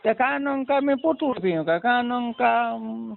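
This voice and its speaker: A man with a creaky voice talking casually on a phone call in a rambling, repetitive way. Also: very high-pitched, lively intonation, moderate pace. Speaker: prank call participant. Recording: narrow phone line with faint noise.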